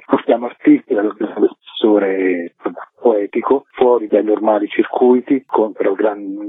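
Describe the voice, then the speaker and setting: A man's voice: fairly low pitch, slightly rough, no room sound. A man speaking conversationally as an artistic director being interviewed on the radio. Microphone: phone call to a radio show. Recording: dry, narrow telephone line.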